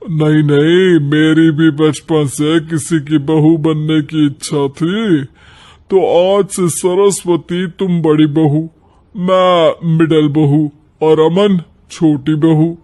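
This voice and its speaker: A man voicing an older father-in-law in a family cartoon, exasperated yet playful, in a deliberate, wry manner. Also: on the high side, steady tone, darkish tone. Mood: playful, mock-earnest.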